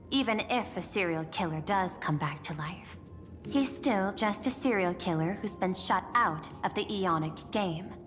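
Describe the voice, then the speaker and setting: An actress playing a machine-minded corporate chief in a video game, speaking in a measured, formal, detached manner. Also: female voice, on the low side, a bit bright. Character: composed, calculating female machine-minded corporate chief executive. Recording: long reverb, faint music behind.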